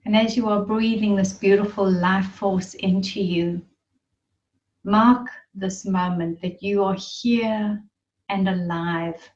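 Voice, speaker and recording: A woman leading a guided meditation in a soothing, reverent manner, leaving long pauses between phrases. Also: medium pitch, darkish tone. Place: online group gathering. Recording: muffled, roomy, distant online-call sound.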